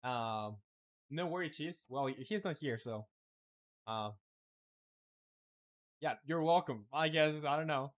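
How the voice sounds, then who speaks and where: A man's voice: a little high, takes it slowly, pauses often, muffled recording. A young man from Chile whose first language is Spanish, talking casually and thinking aloud while building his game on a live stream. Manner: relaxed, thinking aloud with many fillers.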